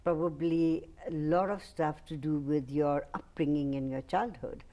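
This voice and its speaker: A woman hosts a talk show with a mellow, slightly low voice. She questions her guest in an admiring, encouraging way. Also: fairly even intonation, unhurried, dull audio. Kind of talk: interview hosting.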